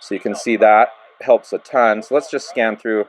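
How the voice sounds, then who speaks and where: A man giving a relaxed, casual hands-on review of a handheld radio, narrating each step with plenty of fillers as he works the controls. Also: fairly low pitch, normal speed, poor audio. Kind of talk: casual hands-on product demo.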